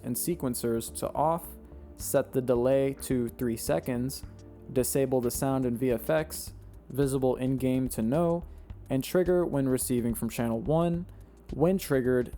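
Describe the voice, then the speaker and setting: A young man, slightly hoarse from losing his voice, narrating a step-by-step game-building tutorial as a voice-over, reading off settings one by one. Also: medium pitch, dark tone.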